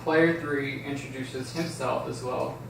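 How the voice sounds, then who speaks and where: A man's voice: mid-range voice, steady tone, a little slow, vocal fry. A man narrating stage directions at a script table read, recorded in an echoey room from a distance. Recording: echoey room, distant mic, faint noise.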